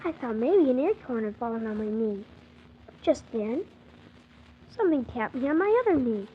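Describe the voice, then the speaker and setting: Eager, high-voiced orphan boy. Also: very animated, normal speed. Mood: wonder-filled. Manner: earnest, wonder-filled, childlike storytelling with exclamations. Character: eager, wide-eyed young orphan boy telling his adventure. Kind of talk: 1940s children's radio serial storytelling. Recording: muffled 1940s radio transcription, faint hiss.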